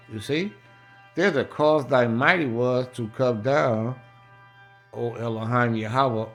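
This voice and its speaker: An American man preaching in a colloquial, emphatic and repetitive way, reading scripture aloud and adding his own running commentary. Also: medium pitch. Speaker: street-style Bible preacher.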